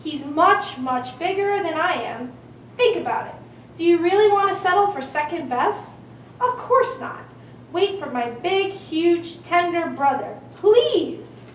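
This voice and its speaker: A small, timid goat from a children's puppet show, pleads in a sing-song, extremely high voice. The sound is roomy and a little distant, with faint noise. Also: female voice, lively intonation, a little slow. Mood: pleading. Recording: echoey room, distant mic, faint noise.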